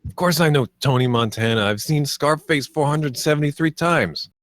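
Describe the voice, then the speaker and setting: A man's voice: on the high side, moderate pace, dry recording, natural voice. A man playing a cocky, crude defendant in a comedy script table read, brash and slangy. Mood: defiant. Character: cocky, crude young man awaiting murder trial.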